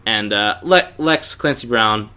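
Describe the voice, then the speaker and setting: A man's voice: fairly low pitch, animated, measured pace, pushed and bright, smooth. A man co-hosting a podcast, giving opinionated critique in a casual, conversational review style. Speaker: podcast co-host reviewing animated series.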